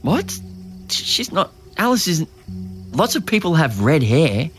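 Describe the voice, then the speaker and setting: An actor with an Australian accent playing a resentful, easily riled younger brother, curt and defensive, in a naturalistic audio drama. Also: male voice, a little high, sing-song, measured pace, faint music behind. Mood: defensive, annoyed.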